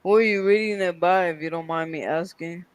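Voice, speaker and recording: Lady w a low smooth voice, polite, dry close sound. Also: a little fast, dry recording. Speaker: guest on a live stream.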